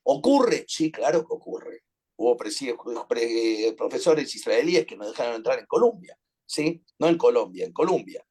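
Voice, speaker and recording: A man's voice: medium pitch, very animated, normal speed, darkish tone. A man with an Argentine accent leading an online class, speaking in an earnest, reflective way that halts at times. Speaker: teacher.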